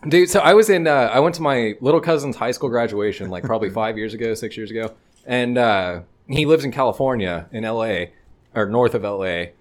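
A man's voice: mid-range voice, fairly quick. Laid-back man with a light Texan accent. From Texas. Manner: laid-back storytelling, lots of swearing and laughing. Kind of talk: casual chat among friends.

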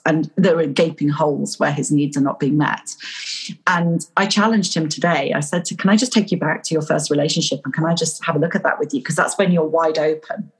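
A woman from Yorkshire, a coach and guest, talking in an animated storytelling way in a casual interview. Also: low-pitched, husky. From Yorkshire, England.

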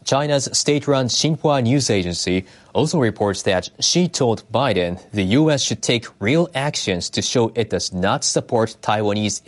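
Male newsreader, lowish voice, scripted bulletin delivery. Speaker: news reader. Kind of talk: scripted news narration.

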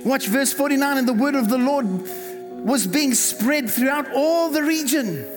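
A South African pastor preaching a fervent sermon, repeating words and calling on the congregation to respond. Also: male voice, extremely high pitch, normal speed. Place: church hall. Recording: reverberant hall with music behind. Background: music.

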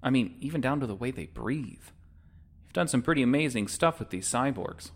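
A man's voice: medium pitch, lively intonation, brisk. An actor playing a wry young would-be freedom fighter in a sci-fi audio drama, talking in a casual, sardonic way as he bargains. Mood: coaxing. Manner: casual, sardonic, informal wording. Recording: music under the voice.

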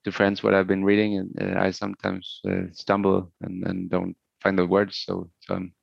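A middle-aged man from Sweden, a native Swedish speaker, talking haltingly and thoughtfully as a musician in a casual interview. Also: sits low, monotone, creaky, breathy edge, dry recording, dull audio. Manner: hesitant, halting, thoughtful, searching for words.

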